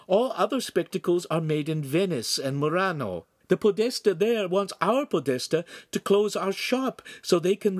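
A man voicing an excitable middle-aged wife of a master craftsman, high and shrill. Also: no room sound, studio quality.

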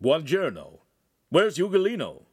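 Man as older workshop master, stern yet kindly, questioning, expressive intonation, storybook dialogue, dry sound. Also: a little high, husky, no room sound, voice pushed up, heavily acted. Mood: genial. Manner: measured, questioning, paternal.

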